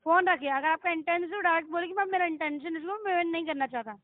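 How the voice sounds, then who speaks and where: High-pitched insistent Indian woman on phone. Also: quick pace, no echo. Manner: insistent, confrontational questioning. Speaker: loan recovery call centre agent. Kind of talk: debt collection phone call. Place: on a phone line. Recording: tinny, narrowband phone call recording.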